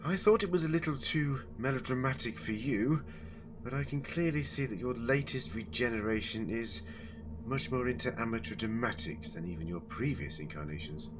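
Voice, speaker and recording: A man's voice: medium pitch, moderate pace, noisy background, natural voice. A man playing a defiant, sardonic time-travelling alien hero in a sci-fi audio drama, challenging and wry in his delivery.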